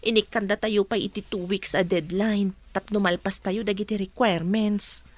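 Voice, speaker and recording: An actress playing an easygoing, reassuring young college student in a radio drama, with a Filipino accent. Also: female voice, mid-range voice, fairly even intonation, normal speed, lightly acted.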